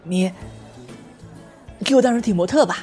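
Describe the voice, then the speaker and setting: A woman's voice: medium pitch, moderate pace, music in the background, natural voice. A woman playing a blunt, eccentric, self-assured teenage schoolboy artist in an amateur audio drama, speaking in a bold, matter-of-fact way. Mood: eager, blunt.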